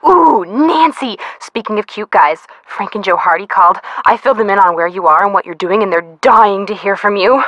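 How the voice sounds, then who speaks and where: A woman playing an excitable, girlish young friend of a detective in a video game, speaking in a gushing, expressive way. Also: mid-range voice, moderate pace, hardly any pauses, no echo, highs cut off. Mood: excited, gushing. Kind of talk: scripted video game voice acting.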